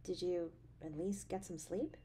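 A woman voice actor playing an affectionate, playful, caring girlfriend in an intimate roleplay audio drama, with a tender, expressive delivery. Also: on the low side, measured pace, a little hiss or hum, lightly acted. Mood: sympathetic, chatty.

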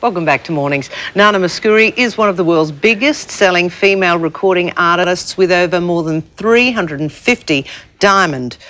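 A woman's voice: lowish voice, normal speed, a bit bright. A middle-aged woman with an Australian accent, hosting and interviewing on a morning television show. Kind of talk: TV presenting and interviewing. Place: TV studio set. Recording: dull lo-fi VHS television recording.

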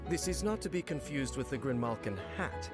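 A man acting as an eloquent game narrator, presenting a magician's tricks in measured, articulate, theatrical scripted lines. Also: mid-range voice, lively intonation, lightly acted. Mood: polished, explanatory. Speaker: narrator of a game character showcase. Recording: music loud behind the voice.

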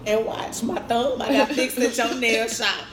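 A woman in her twenties chatting casually while eating on camera, telling a story in relaxed slang. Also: on the high side, measured pace, noisy background. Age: 20s. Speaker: vlogger. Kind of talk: casual mukbang chat. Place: indoors by a concrete wall, eating at a table. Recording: roomy echo with music underneath.

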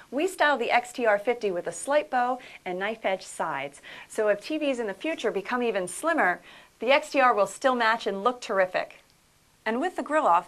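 A woman narrates a product video in a slightly high voice. Her delivery is scripted and polished, with an upbeat sales tone throughout. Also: a bit bright.

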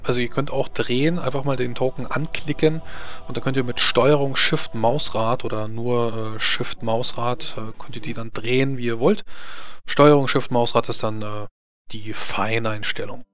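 A man's voice: medium pitch, steady tone, moderate pace, a bit bright. A man as game master, patiently explaining rules and controls to players.

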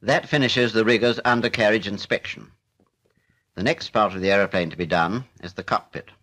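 A man's voice: fairly low pitch, a bit bright. Formal 1940s British film narrator, man. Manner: formal, measured, matter-of-fact. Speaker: narrator of a military instructional film.

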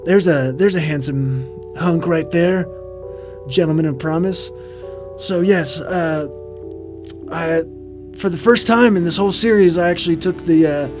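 A man's voice: on the high side, close to an everyday voice. A man voicing a vain, boastful ghost who hosts a comedic commentary track, talking in a hammy, pompous way over music. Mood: smug. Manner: pompous, hammy, grows loud and ranting. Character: vain festive male ghost host, boastful. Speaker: co-host. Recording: music playing underneath.

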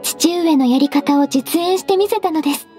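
An actress plays a gentle secretary from an anime-style game. Her voice is very high and soft. She is measured, formal and politely firm, over clearly audible background music. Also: lightly acted. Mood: gentle explaining. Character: gentle, composed half-beast female secretary, politely firm.

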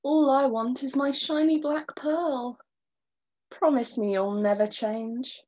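Woman voicing a gentle heartbroken caterpillar girl. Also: high voice, slow. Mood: reproachful. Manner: gentle, reproachful. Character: tender young female caterpillar, heartbroken. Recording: dull lo-fi recording.

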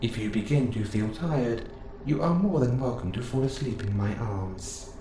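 A man playing a gentle, curious robot assistant who speaks in formal, precise phrases, close and intimate in an audio roleplay. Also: medium pitch, normal speed, close to an everyday voice. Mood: gently curious. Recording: roomy sound with clear background noise.

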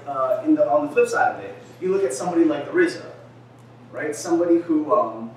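A man's voice: a little high, a little mellow, a little hiss or hum. A man in his thirties giving a casual presentation at a talk event, speaking conversationally and leaning on "right?" and filler words. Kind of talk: lecture presentation. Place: gallery-like room with a screen. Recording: echoey room recorded from far off.

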